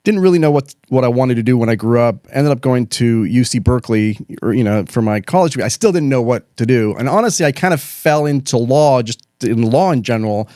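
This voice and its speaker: A man's voice: mid-range voice, expressive, dark tone, no echo. A middle-aged man from Chile, an attorney guest telling his career story in casual, laid-back talk, with a studio microphone. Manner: fast, casual, says "dude" and "man".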